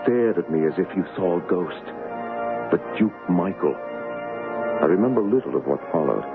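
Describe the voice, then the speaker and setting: Deep-voiced, earnest English gentleman, vintage radio. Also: lively intonation, gravelly. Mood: reflective, excited. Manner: measured, earnest. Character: earnest, honourable English gentleman, royal look-alike and storyteller. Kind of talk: old-time radio drama acting and first-person narration. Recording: muffled vintage radio broadcast with music.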